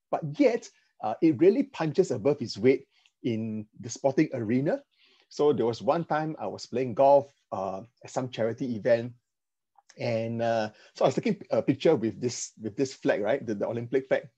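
A middle-aged man with a Singaporean accent, informally sharing personal stories in a halting, conversational slide presentation. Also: mid-range voice, warm tone, husky, lo-fi sound. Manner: conversational, halting, with "right" tags. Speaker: speaker sharing financial advice with a youth group.

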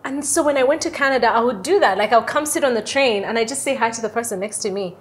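A young woman from Uganda, talking casually as an interview guest in an animated storytelling way, often checking in with "right?". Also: fairly high pitch, quick pace. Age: young adult. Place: airy lounge on a sofa, indoors.

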